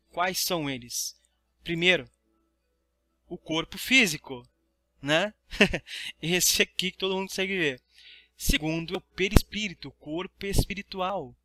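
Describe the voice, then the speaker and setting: A man's voice: high voice, sharp tone. A Brazilian man teaching a study lesson in a didactic way, reading aloud and explaining. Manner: didactic, checks understanding with tag questions.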